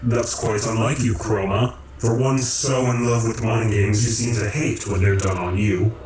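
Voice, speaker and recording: A man in a radio drama playing a calm, commanding creator of realities who speaks in measured, formal, cryptic phrases. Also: lowish voice, over a noisy crowd, natural voice. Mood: cryptic, grave. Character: calm, commanding cosmic creator, male, cryptic philosophical overseer. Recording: roomy echo, distant, music underneath.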